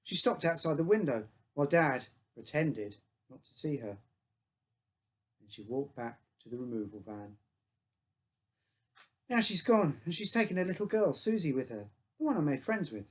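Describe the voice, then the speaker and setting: A man's voice: a little high, very animated, normal speed, pauses often. A man reading his own short story aloud as a first-person narrator, in simple childlike phrasing.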